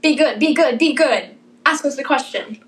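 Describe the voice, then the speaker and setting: Bubbly young woman, bright higher voice. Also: moderate pace. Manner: bubbly, theatrical, sings snippets. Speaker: podcast co-host. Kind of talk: casual chat.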